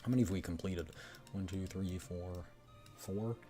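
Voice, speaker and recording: A man's voice: deep voice, steady tone, normal speed, dark tone, faint music behind. A man streaming a game, reading the story aloud and chatting casually as he thinks aloud. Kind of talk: game readthrough with casual commentary.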